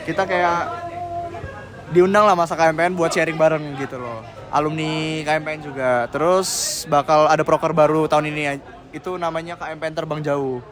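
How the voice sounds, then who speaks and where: A man's voice: a little high, steady tone, a little fast, crowd noise. A young man answering interview questions outdoors in a rambling, slangy way full of fillers. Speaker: senior member being interviewed.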